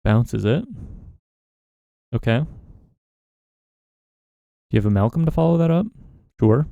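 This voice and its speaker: A man's voice: mid-range voice, a little slow, gravelly, no room sound, muffled recording. A man giving casual commentary while playing a card game, thinking aloud about his choices. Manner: relaxed, musing, talks himself through plays. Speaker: gaming content creator.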